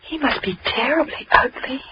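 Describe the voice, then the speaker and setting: A woman's voice: high voice, animated, moderate pace, dull audio. An actress playing a sweet, timid young woman, hesitant and naive, in a 1930s radio drama. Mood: frightened. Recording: noisy 1930s radio recording.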